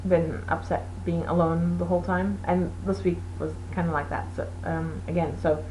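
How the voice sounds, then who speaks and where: A woman's voice: fairly low pitch, little pitch movement, moderate pace, dark tone, a little hiss or hum, rough recording. A woman whose first language is Portuguese, hosting a knitting show in a casual, rambling chat with many fillers. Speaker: knitting podcast host.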